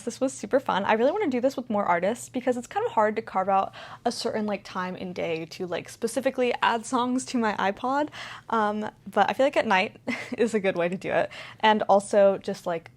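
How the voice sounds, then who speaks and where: Woman, twenty, casual vlog chat, rambling, lots of filler words, mid pitch. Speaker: vlogger.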